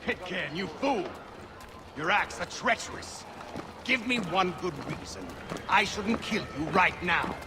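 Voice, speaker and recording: Imperious, hot-tempered game general: raised pitch, dramatic swings, formal period speech over loud music. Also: male voice, expressive, unhurried. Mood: seething. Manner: haughty, formal, commanding.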